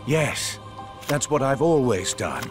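An actor playing a calm, calculating middle-aged schemer in a video game, speaking in a measured, probing way. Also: male voice, medium pitch, over music. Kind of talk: cinematic video game voice acting.

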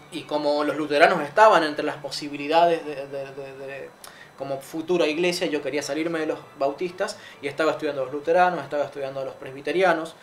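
A man, earnestly recounting his own story as an interview guest, with many fillers and restarts. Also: on the high side. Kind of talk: personal testimony in an interview. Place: living room with curtains, seated in armchair. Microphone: lapel mic.

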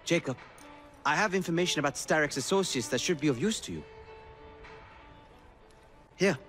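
A courteous young assassin mentor in a game cutscene. He sounds formal and earnest, fairly high for a man, with animated intonation over faint music. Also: normal speed. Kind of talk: video game cutscene acting.

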